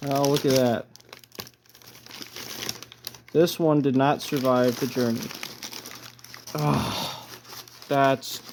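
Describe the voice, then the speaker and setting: A man presents his collection in a casual unboxing style. His delivery is low-key and halting, as if working out his thoughts aloud. His voice sits at an average pitch for a man. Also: noisy background.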